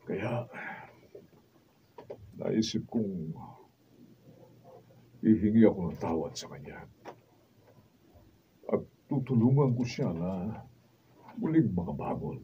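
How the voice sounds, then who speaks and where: A man's voice: fairly low pitch, expressive, takes it slowly, pauses often. An actor with a Filipino accent playing a proud, well-off husband and father who has been humbled, in a radio drama. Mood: remorseful, earnest. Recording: dull, noisy, distant studio camera recording.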